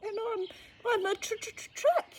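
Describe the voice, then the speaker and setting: A woman's voice: very high-pitched, lively intonation, normal speed, a little hiss or hum, pitched above the performer's own voice. A woman voicing an anxious, pitiful old male truck who cries out plaintively in a children's storybook. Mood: gasping, terrified. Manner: stuttering, plaintive crying out.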